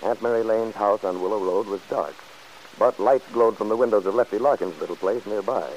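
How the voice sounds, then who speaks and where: A man's voice: on the low side, fairly quick, darkish tone, poor audio. A man reading scripted story narration for an old radio serial, in the style of 1940s broadcasting. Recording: hissy old radio recording.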